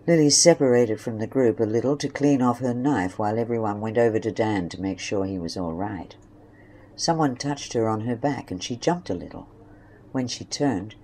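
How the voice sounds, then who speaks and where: A woman's voice: extremely low pitch, warm tone, a little hiss or hum. A woman reading scripted narration for an audio drama story.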